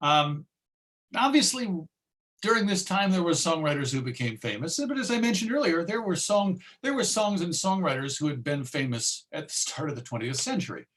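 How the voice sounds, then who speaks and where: American guy doing an informal history talk, slightly high voice, chatty but stresses his points. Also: big pitch swings, normal speed, fairly bright tone. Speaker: lecturer, presenter.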